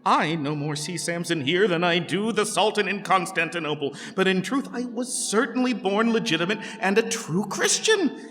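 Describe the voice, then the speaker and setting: A man's voice: high voice, expressive, normal speed, fairly bright tone, faint music behind. A man playing an anxious, vain townsman in a comic stage play, with theatrical asides. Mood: baffled. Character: anxious, vain townsman secretly doubting what he sees. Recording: reverberant hall.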